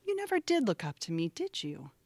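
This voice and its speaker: A woman's voice: medium pitch, huge pitch swings, fairly quick, darkish tone, no echo. A woman acting in an audio play as a prim, resentful older sister with a cutting, disapproving manner. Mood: wounded, bitter.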